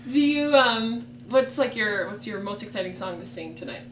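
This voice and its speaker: A woman's voice: mid-range voice, expressive, moderate pace, a bit bright. Woman doing a chatty informal interview, roomy echo, noise behind her. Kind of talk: casual interview chat. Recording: echoey, noisy, distant microphone.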